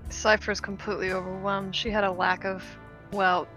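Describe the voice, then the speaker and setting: Female RPG player, bright crisp delivery, casual character narration, faint music behind. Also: mid-range voice, flat delivery, normal speed, low-quality recording. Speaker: tabletop roleplaying player. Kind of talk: casual third-person narration of own character.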